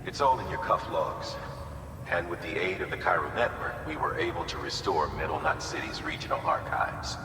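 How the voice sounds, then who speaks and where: An actor playing a formal, probing official who is calmly in charge, in a video game cutscene. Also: male voice, sits low, normal speed. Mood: probing, measured. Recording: echoey hall, distant, noisy vehicle ambience.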